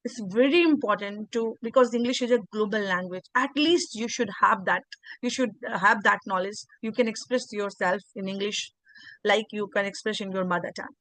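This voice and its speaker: A woman's voice: mid-range voice, brisk, fairly bright tone, no room sound, poor audio. An English teacher with an Indian accent, a native Hindi speaker, talking earnestly in a video interview and often restarting her sentences. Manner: earnest, repeats words, frequent restarts. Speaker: English teacher and channel host.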